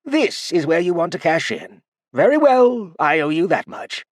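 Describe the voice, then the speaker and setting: A man's voice: a little high, very animated, moderate pace, no echo, clean studio sound, voice pushed up. A man voicing a sly, playful old male cultivator who teases with feigned innocence. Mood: wry.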